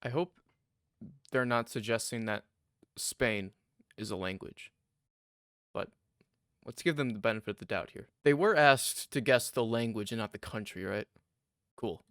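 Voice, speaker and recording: A man's voice: on the low side, normal speed, long pauses, a bit bright, dry recording, clean studio sound. American man hosting a reaction video. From United States. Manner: chatty, analytical. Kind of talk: casual commentary on video clips.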